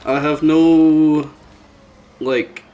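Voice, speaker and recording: A man's voice: fairly high pitch, flat delivery, drawn-out words, dark tone, muffled recording. A man hosting a casual podcast, chatting and teasing his friends. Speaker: podcast host. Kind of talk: casual chat, teasing banter. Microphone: new microphone. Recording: clearly noisy background.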